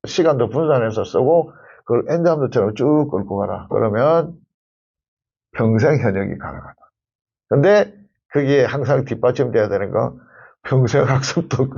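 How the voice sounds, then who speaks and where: A middle-aged man speaks in a husky, gravelly voice with an animated rise and fall. He gives conversational advice like an instructor, leaning on key phrases and repeating them. The sound is a little muffled and lo-fi. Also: medium pitch, normal speed. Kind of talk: conversational advice to camera in an interview.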